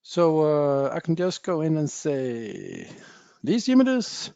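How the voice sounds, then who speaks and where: Man giving a software demo. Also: a little high, wildly swooping pitch, takes it slowly, gravelly, clean tone, no echo. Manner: plain explanatory talk with frequent uh fillers, non-native phrasing. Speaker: software presenter. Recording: dull dry webinar audio.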